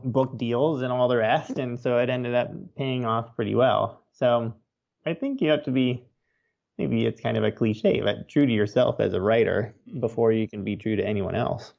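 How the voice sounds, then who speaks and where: A man's voice: mid-range voice, vocal fry, a little mellow. A man giving plain-spoken, easygoing answers as a guest in a casual interview, on slightly dull lo-fi sound. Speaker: interview guest, nature writer.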